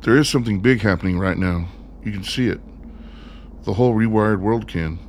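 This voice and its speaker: A man acting as an earnest, defiant son in a radio play. His voice is fairly low, his delivery direct and insistent, with slight noise underneath. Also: brisk, natural voice. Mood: defiant, urgent. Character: earnest, defiant son bargaining for their lives.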